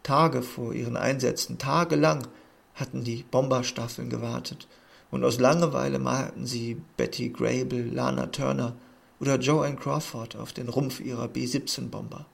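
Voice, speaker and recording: A man reading a literary text aloud in a measured, earnest way. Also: mid-range voice, fairly even intonation, creaky. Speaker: narrator.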